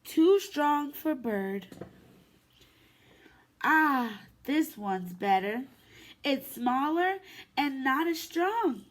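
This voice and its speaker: A woman preschool teacher reading a picture book aloud to young children in an expressive, sing-song way. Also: high voice, extremely slow, long pauses. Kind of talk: children's story time read-aloud.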